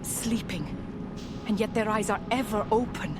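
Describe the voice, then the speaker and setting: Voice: mid-range voice, steady tone, normal speed, very noisy, lightly acted. A voice actor as a skeptical, probing troll. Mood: suspicious. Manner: questioning and sharp. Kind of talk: game cutscene voice acting. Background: music.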